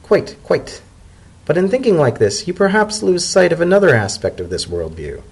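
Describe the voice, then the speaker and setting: A man acts out an old female zebra scholar for a storybook tale. The voice is pitched up and slightly husky. She speaks formally and with measured care, indulgent and gently wry, every bit the shrewd diplomat. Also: huge pitch swings, full character voice. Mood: amused, indulgent.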